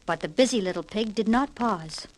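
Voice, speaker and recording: A woman reading a scripted children's read-along story with expressive, emphatic delivery, on an old vinyl record. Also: mid-range voice, moderate pace, rough recording. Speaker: storybook narrator. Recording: 1960s vinyl record with hiss and pops.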